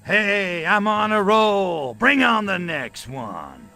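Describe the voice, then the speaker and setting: An actor playing a cocky, sarcastic, short-tempered alien invader in a video game, with a brash, slangy, gravelly delivery. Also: male voice, high-pitched, very animated, slow, pushed and bright, faint music behind, full character voice. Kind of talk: video game cutscene acting.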